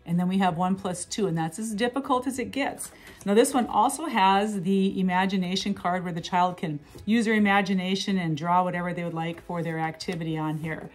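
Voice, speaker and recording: A woman's voice: lowish voice, a little fast. Upbeat woman demoing toys. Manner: upbeat, clear, explaining step by step. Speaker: toy seller demonstrating products. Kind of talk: product demonstration. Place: small indoor room at a table.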